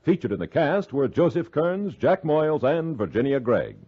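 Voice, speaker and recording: A man's voice: fairly high pitch, big pitch swings, normal speed. A man with an American accent reading scripted closing credits as a 1950s radio announcer. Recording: muffled vintage radio recording with music. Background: music.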